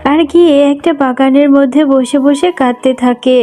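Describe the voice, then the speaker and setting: High-pitched woman narrating a children's story. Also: moderate pace, dark tone.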